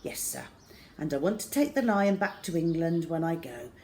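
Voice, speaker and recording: An earnest young soldier from a children's storybook, read by a woman. He is respectful and quietly determined as he makes his case. The room has some background noise. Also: sits low, big pitch swings, moderate pace. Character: earnest, polite young male soldier, determined animal lover.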